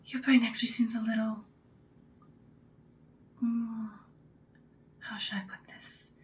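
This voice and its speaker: A woman playing a commanding female vampire mentor in a whispered ASMR roleplay, speaking softly, breathily and deliberately. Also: fairly high pitch, little pitch movement, a little hiss or hum. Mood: ominous, musing. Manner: slow, deliberate, instructing, ominous. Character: ancient-seeming female vampire mentor, commanding, once ruthless, now good.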